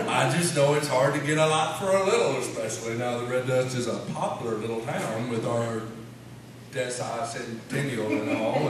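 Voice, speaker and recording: Man playing Henry, a slow-witted folksy rambler, echoey. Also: medium pitch, lightly acted. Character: Henry, slow-witted folksy small-town man, earnestly literal-minded. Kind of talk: comic radio-show sketch before a live audience. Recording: echoey noisy hall, distant microphone.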